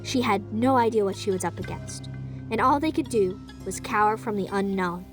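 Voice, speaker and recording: A woman narrating an audio drama in an even, storytelling manner. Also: mid-range voice, normal speed, over music.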